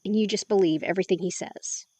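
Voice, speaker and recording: A woman's voice: medium pitch, fast talker, a little mellow, breathy edge, dry recording, natural voice. An actress playing a skeptical young woman in an audio drama, dry, sarcastic and probing. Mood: challenging. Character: sharp-tongued young woman, skeptical, questioning.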